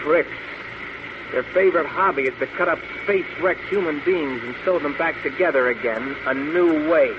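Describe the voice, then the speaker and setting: An actor playing a desperate, defiant captive hero in an old radio space drama, with intense, melodramatic delivery. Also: male voice, fairly high pitch, moderate pace, lightly acted. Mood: alarmed, incredulous. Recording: muffled old radio recording, loud noise.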